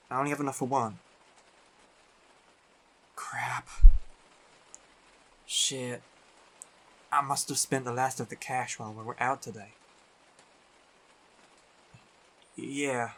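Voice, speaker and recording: A man playing a big, teasing, affectionate biker werewolf boyfriend in an intimate roleplay audio, talking in an unhurried, colloquial way. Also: medium pitch, pushed and bright. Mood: frustrated, reassuring.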